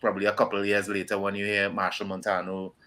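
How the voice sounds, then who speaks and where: A man's voice: on the low side, monotone, fast talker, pushed and bright. A middle-aged man with a Trinidadian accent, talking casually as a musician guest in an interview. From Trinidad and Tobago.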